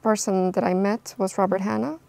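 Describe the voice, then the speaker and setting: A young woman from Costa Rica speaks as an interview guest, thoughtful and halting, with many ums. Her voice has a gravelly fry to it. The recording is dry and close. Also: mid-range voice, fairly even intonation, a little mellow, no room sound. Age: young adult. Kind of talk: casual interview answers.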